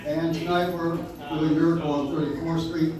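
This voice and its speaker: A man's voice: a little high, little pitch movement, moderate pace, far from the mic, a crowd in the background. A man casually and informally introducing a live show on stage, recorded from the audience in an echoey room. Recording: echoey room from afar, clear noise.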